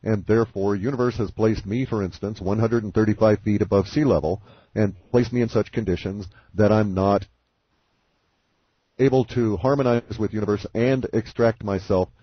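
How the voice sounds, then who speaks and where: A man on a conference call giving a deliberate, philosophical explanation in a calm, speculative way. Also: on the low side, husky, no room sound. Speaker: guest speaker on a conference call. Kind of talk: measured explanatory monologue. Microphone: phone line. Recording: muffled phone-call audio.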